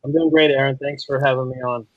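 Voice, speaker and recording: Male interview guest, medium pitch, conversational explainer, close dry sound that is a little lo-fi. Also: steady tone, fast talker, dry recording. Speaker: podcast guest, ESL teacher. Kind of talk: casual interview chat.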